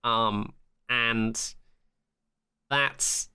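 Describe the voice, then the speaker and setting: A man's voice: mid-range voice, steady tone, very slow, pushed and bright, smooth. A man co-hosting a podcast, recapping a TV episode in casual, sweary chat peppered with "like". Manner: sweary, frequent "like", acts out quotes. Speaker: podcast co-host.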